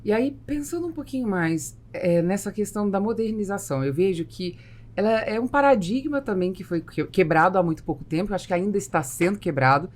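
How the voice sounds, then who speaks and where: A woman with a Brazilian accent hosts a conversational business podcast. Her voice sits at a medium pitch, and she tells things like an animated storyteller. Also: fairly quick. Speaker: podcast host.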